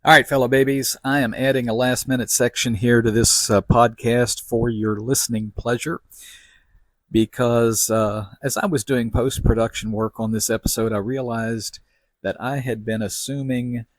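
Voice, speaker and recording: A man hosting a podcast, delivering semi-scripted commentary in a folksy, wry manner with deliberate phrasing. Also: medium pitch, fairly even intonation, dry recording. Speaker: podcast host.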